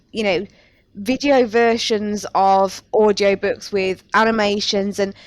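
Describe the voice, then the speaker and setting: A woman's voice: medium pitch, no echo. A woman hosting a podcast, talking casually in a chatty, self-deprecating way. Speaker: podcast host.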